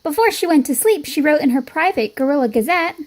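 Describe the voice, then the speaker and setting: A woman reading a children's picture book aloud in an expressive storytelling style. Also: sits high, fairly quick. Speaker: storybook reader.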